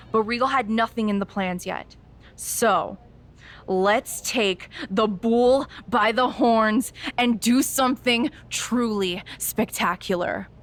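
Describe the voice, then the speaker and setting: A woman's voice: mid-range voice, fairly bright tone. An actress playing a scrappy, persistent young woman wrestler, pointed and earnest, in a scripted video game cutscene. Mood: eager, pitching.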